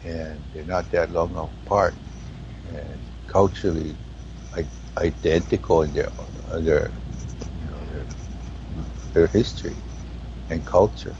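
A man with a Hawaiian accent talking in a spiritual conversation, slow and halting as he searches for words. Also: low voice, steady tone, darkish tone, highs cut off, a little hiss or hum. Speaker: guest.

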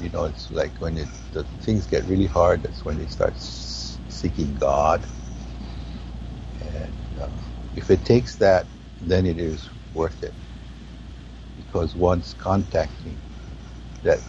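Man, Hawaiian accent, very deep voice, gravelly, unhurried halting speech, dull lo-fi recording. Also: dark tone, slight background noise. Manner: slow, halting, searching for words. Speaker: guest. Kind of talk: spiritual conversation.

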